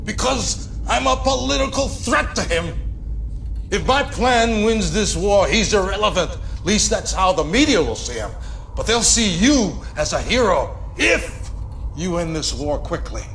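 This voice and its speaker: A man's voice: high-pitched, big pitch swings, a little slow, vocal fry, fairly bright tone, a little hiss or hum, heavily acted. A man playing an ambitious, scheming political director in a game cutscene, persuasive and self-assured with dramatic emphasis. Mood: urgent, goading. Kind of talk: video game cutscene acting.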